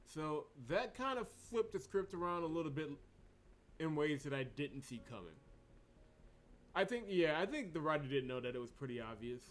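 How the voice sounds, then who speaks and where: A man doing let's play commentary, thinking aloud in animated bursts. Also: a little high, normal speed. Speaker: game playthrough host. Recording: music behind the voice. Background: video game music.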